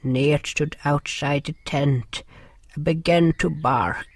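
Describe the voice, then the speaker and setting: A man playing a gentle, sorrowful creature who narrates an audio drama in a deliberate, solemn way. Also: a little high, no echo. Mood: somber. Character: gentle, sorrowful non-human narrator River, caring companion.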